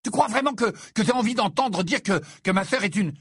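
Man acting out an indignant teenage boy, audiobook character, raised pitch, husky edge, blustering, hot-tempered, protective brother. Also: expressive, a little fast, dry recording, clean studio sound. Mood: furious.

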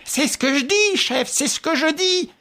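An audiobook narrator voicing a stubborn, excitable veteran police inspector who talks in agitated, insistent bursts. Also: mid-range voice, normal speed, breathy edge, voice pushed up.

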